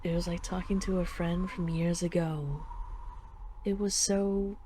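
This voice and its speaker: A woman playing a dragon queen who is tender, gently teasing and possessive in an intimate roleplay audio drama. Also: lowish voice, steady tone, unhurried, slight background noise, lightly acted. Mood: wistful. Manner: affectionate, gently teasing, wistful.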